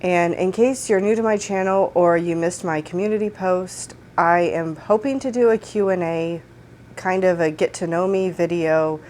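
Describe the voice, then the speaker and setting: A woman in her thirties talks through her month of books in an upbeat, chatty way, her voice a little congested from a cold. Her voice sits on the lower side for a woman. She speaks into a desk microphone in a room at home. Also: darkish tone, a little hiss or hum. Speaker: book vlogger. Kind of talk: casual book wrap-up chat.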